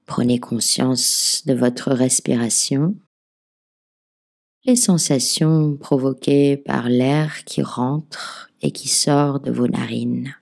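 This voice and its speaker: A woman leading a guided relaxation as a meditation teacher, giving gentle, soothing, measured instructions. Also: extremely low pitch, vocal fry. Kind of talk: guided yoga nidra relaxation narration.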